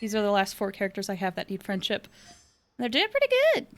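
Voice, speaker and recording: A woman's voice: medium pitch, very animated, quick pace. A woman live-streaming a video game, chatting casually and playfully with little exclamations and sound words. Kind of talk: casual chat while playing. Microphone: streaming microphone.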